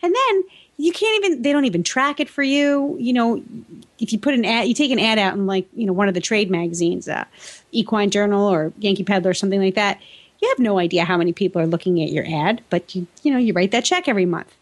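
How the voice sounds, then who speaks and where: A woman's voice: medium pitch, fast. A woman with an American accent and a mid-range voice co-hosts a podcast. She talks casually and chattily, with a playful, teasing edge. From New England, United States. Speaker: podcast co-host. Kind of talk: casual co-host banter and chat.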